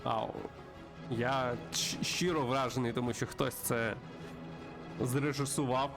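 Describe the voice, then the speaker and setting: A man's voice: medium pitch, steady tone, takes it slowly. Tongue-in-cheek male game streamer over music. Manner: tongue-in-cheek, mock-earnest. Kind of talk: playthrough commentary with dramatic reading. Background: music behind the voice.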